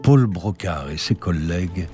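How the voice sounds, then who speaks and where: A man's voice: deep voice, expressive, a little slow, warm tone, soft background music. A man reading scripted narration for an audio drama.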